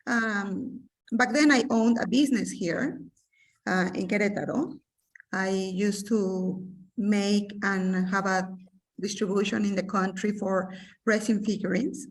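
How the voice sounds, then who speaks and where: Female guest from Mexico, second-language speaker, animated storyteller with many fillers; distant video call sound. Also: mid-range voice, unhurried. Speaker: interview guest, businesswoman. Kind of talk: personal storytelling interview. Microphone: remote video call.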